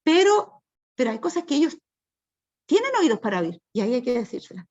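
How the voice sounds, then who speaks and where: A woman's voice: on the high side, sing-song, brisk, darkish tone, muffled recording. A woman leading a church Bible study, speaking in a firm, preachy yet chatty way.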